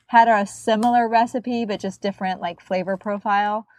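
A woman's voice: medium pitch, steady tone, moderate pace, darkish tone, dry recording. A woman with a cold and a nasal voice, telling a self-mocking kitchen story in casual chat. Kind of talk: casual chat about cookbooks.

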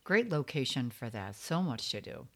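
A woman's voice: extremely low pitch, very animated, normal speed, fairly bright tone. A woman co-hosting a podcast, chatting casually in an effusive, agreeable way. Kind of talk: casual conversational chat.

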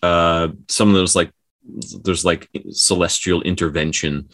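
A man co-hosting a podcast, casually retelling folktales in a halting, self-correcting way. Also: low voice, fairly even intonation, a bit bright. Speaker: podcast co-host.

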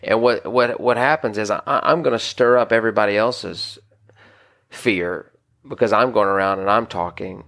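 A man's voice: fairly low pitch, moderate pace, creaky, fairly bright tone. A married man, a pastor, teaching scripture on a podcast in an earnest, conversational way with many restarts. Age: adult, married. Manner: earnest, conversational, frequent restarts and "you know".

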